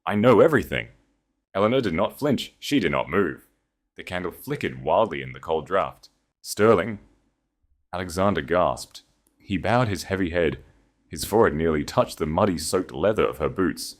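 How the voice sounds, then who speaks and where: A man narrating a dramatic romance audiobook in a measured, storytelling manner. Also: sits low, lively intonation.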